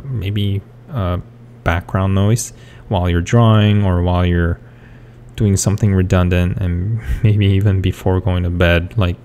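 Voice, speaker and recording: A young man from South Korea, Korean his first language, talks haltingly with a low, gravelly voice. He drifts along through many fillers and restarts. Faint hum sits behind him. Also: a little mellow. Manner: hesitant, full of fillers and restarts. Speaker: artist. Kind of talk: rambling speed-paint commentary.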